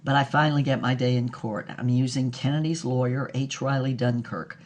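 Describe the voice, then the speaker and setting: A woman's voice: extremely low pitch, steady tone, fairly quick, darkish tone. A woman playing a brassy, wry former actress who tells her scandalous memoirs theatrically in an audio drama. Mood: wry, exasperated. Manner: wry, theatrical storytelling with chuckles. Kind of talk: scripted audio drama narration.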